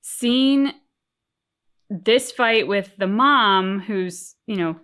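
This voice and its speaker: A woman with a mid-pitched voice chats as a reaction co-host. Her delivery is thoughtful and a bit halting as she works out her opinion. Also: fairly bright tone. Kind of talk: casual reaction discussion.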